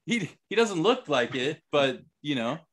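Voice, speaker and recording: A man's voice: a little high, big pitch swings, measured pace, a bit bright. A man in his thirties chatting casually as a podcast co-host, rambling and searching for words. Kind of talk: casual chat.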